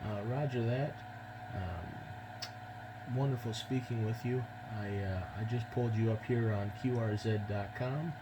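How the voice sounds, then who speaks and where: A man's voice: mid-range voice, steady tone, measured pace, very noisy. An American man chatting casually as an amateur radio operator, speaking politely and a little hesitantly. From United States. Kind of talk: casual ham radio contact.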